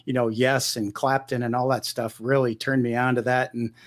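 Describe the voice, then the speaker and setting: A middle-aged man from Michigan talks about his music as an interview guest. His voice sits at an ordinary middle pitch, and he rambles along with lots of restarts and "you know.". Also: level tone, moderate pace. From Michigan, United States. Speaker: guest guitarist. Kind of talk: relaxed conversational interview.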